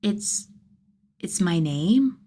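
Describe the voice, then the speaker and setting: A woman's voice: on the low side, lively intonation, very slow, mellow, heavily acted. A woman voicing an earnest, feisty nine-year-old boy with a blunt, eager manner in a story reading. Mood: polite, earnest.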